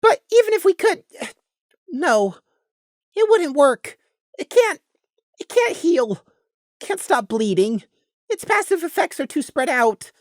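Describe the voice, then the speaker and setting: A woman voicing a frantic, furious male pony who shouts shrilly with a raw, strained throat. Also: high-pitched, very animated, slow pace, pauses often, dry recording, studio quality, pitched above the performer's own voice, exaggerated voice. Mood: frantic shouting.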